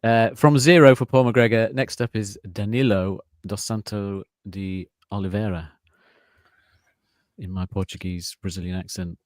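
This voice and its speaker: A man's voice: fairly low pitch, steady tone, no echo, studio quality. A man hosting a casual podcast quiz, teasing the players and drawing out his reveals. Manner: teasing, playful, drawn-out reveals. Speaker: quiz host.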